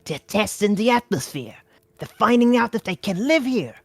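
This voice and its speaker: A brash, greedy male hustler who is flat broke, pushy and full of slang, as a woman reading the story puts him on. The voice rises above her usual low pitch and swings widely, with a dry, close sound. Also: moderate pace, dry recording. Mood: stunned.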